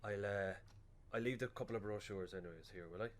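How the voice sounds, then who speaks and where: Actor as a deep-voiced, awkward Irish funeral director. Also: male voice, fast talker, natural voice. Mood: deferential. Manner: hesitant, stammering, politely soothing. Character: awkward, placating, salesmanlike male funeral director. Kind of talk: naturalistic radio play acting.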